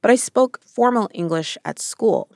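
An American woman hosting a podcast, narrating in a calm, clear way. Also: fairly high pitch, measured pace, dry recording, clean studio sound. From United States. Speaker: podcast host. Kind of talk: scripted narration.

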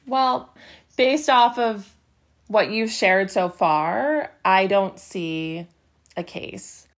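A woman who is an employment attorney, being interviewed and speaking carefully and deliberately. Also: mid-range voice.

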